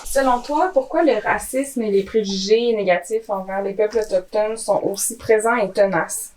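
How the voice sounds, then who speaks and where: A woman's voice: mid-range voice, moderate pace, darkish tone. A woman asking interview questions from away from the microphone. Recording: distant, off-mic voice.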